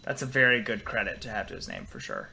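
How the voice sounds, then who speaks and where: A man co-hosting a casual podcast, trading animated, teasing banter. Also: fairly low pitch, fast talker, gravelly. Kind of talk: casual chat.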